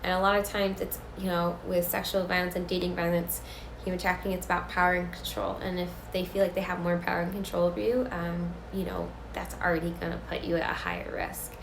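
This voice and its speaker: A woman's voice: on the low side, little pitch movement, quick pace, vocal fry. Woman educator, stuffy and sick, earnest live-stream host, echoey room with faint hiss. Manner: filler-heavy, earnest, repeats for emphasis. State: congested, sick.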